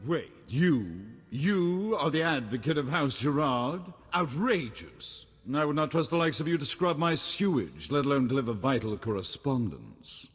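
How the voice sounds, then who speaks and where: Snobbish game nobleman, husky voice, swooping haughty delivery, condescending, long hall reverb. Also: medium pitch, big pitch swings, moderate pace, few pauses, faint music behind. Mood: haughty disdain. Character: pompous, sneering male aristocrat, easily outraged snob. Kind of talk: scripted video game voice acting.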